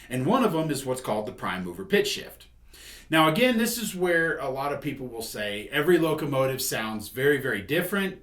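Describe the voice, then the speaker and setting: A man's voice: medium pitch, fairly bright tone. Man explaining in an echoey room. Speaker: host and product demonstrator. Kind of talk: explanatory tutorial demonstration. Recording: echoey room with faint background noise.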